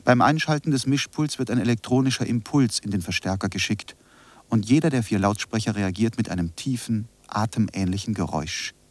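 A man playing a reflective narrator in a scripted radio drama, speaking in measured phrases. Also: on the low side, no room sound, lightly acted. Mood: quiet, concentrated. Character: reflective male narrator reciting essays and studio notes.

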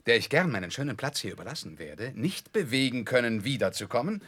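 An actor playing a charming, self-assured young gentleman in a 1960s radio play, with confident, polished phrasing. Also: mid-range voice, very animated, a little fast. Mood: gallant, cheerful.

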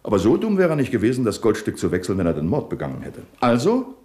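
An actor playing a shrewd, impatient police commissioner interrogating a suspect in a 1970s radio crime play. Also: male voice, mid-range voice, huge pitch swings, fast. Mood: stern. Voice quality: sharp, interrogating, impatient outbursts.

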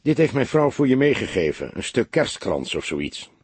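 A man's voice: fairly low pitch, big pitch swings, moderate pace, husky, no room sound, heavily acted. A man voicing a seasoned, kindly older police detective in an audiobook, with a wry, fatherly, assured manner. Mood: cheerful.

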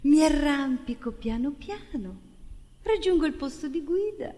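A mocking, condescending stage cynic, acted by a woman, high and animated. The sarcasm is exaggerated and theatrical, ringing in a reverberant hall. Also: measured pace. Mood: sarcastic. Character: mocking, condescending cynic needling an idealistic performer. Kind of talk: theatrical monologue in dialogue.

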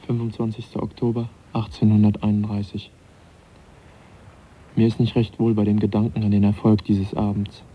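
A man acting in a German radio drama as a reserved, uneasy young gentleman naturalist, speaking in a sober, formal, dry way. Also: lowish voice, monotone, normal speed, husky. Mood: brooding, uneasy. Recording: dull, noisy 1980s radio drama.

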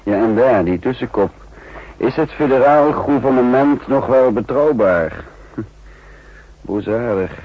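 An actor playing a shrewd, sardonic counter-espionage chief in a 1960s radio drama, musing dryly with thoughtful hums. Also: male voice, mid-range voice, animated, unhurried, pauses often, dry recording. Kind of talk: 1960s Dutch radio drama acting with narration. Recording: dull, noisy 1960s radio recording.

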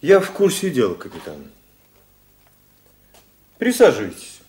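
An actor playing a courteous, dignified male lawyer in a radio drama, measured and theatrical with weighty pauses. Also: fairly high pitch, wildly swooping pitch, a little mellow, a little hiss or hum. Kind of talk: 1980s Soviet radio drama acting.

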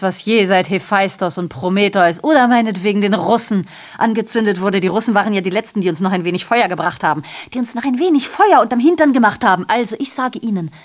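A woman's voice: mid-range voice, close to an everyday voice. A woman in a radio drama playing a sardonic messenger who reports on war and ruin with rhetorical questions and ironic asides. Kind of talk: literary radio drama monologue.

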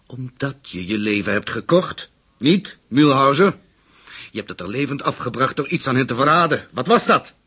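An actor playing a menacing, calculating interrogator in a radio drama, measured and commanding. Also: male voice, medium pitch, expressive, bright, forceful tone, slightly rough. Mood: cold menace. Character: menacing, calculating male interrogator, leader of Nazi conspirators.

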